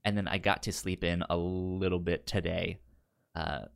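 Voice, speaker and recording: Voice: deep voice, flat delivery, normal speed, gravelly, clean tone. A podcast co-host chatting casually about films, often stammering and repeating words and syllables. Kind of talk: casual film podcast chat.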